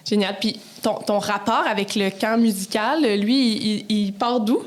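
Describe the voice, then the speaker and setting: A woman's voice: mid-range voice, steady tone, measured pace. A woman with a Quebec French accent, hosting a podcast in a casual, conversational interview style.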